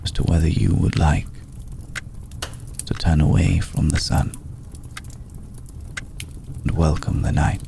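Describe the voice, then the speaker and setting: A man playing a courteous, brooding old vampire lord in an intimate ASMR roleplay, speaking in a measured, solemn, deliberate way with long pauses. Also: level tone, dark tone, slight background noise, heavily acted. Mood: solemn, inviting. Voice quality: deep, gravelly. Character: courteous, brooding centuries-old male vampire lord, tender toward a mortal.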